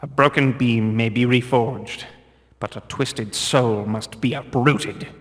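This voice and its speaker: An actor playing a zealous guard of a stern religious order in a video game, speaking solemn, pious, archaic English. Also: male voice, medium pitch, steady tone, takes it slowly, fairly bright tone. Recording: long cavernous reverb.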